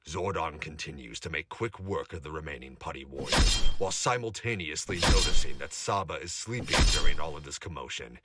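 Deep-voiced man narrating, very noisy recording. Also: animated, brisk, hardly any pauses. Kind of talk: dramatic scripted action narration for fan audio drama. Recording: noisy amateur recording with heavy background noise.

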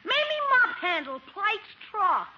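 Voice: extremely high pitch, sing-song, slow pace, exaggerated voice. An actor playing a wisecracking young schoolboy nephew in a 1950s radio comedy, blunt and smart-alecky. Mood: mocking. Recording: dull, noisy vintage radio recording.

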